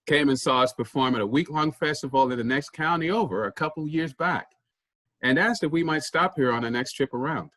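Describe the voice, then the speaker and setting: A man's voice: on the high side, a little fast, fairly bright tone, no room sound. A man playing a humble farmer who leads a traveling troupe of storytellers, giving an earnest, formal monologue in a staged play reading. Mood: grateful, humble.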